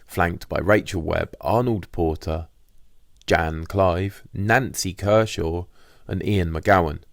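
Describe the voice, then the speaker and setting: A man reads a diary as audiobook narration. His voice is very deep with a lot of fry in it. The sound is dry, with no room around it. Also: takes it slowly, no echo. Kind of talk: scripted first-person diary narration.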